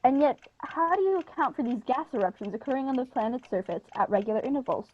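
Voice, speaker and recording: A woman's voice: high-pitched, expressive, fast talker, a little mellow. A young woman playing an earnest male news reporter in a radio play. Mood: formal, inquisitive. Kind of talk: scripted radio play acting. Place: living room, video call. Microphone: earphones with inline mic. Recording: dull lo-fi video call audio.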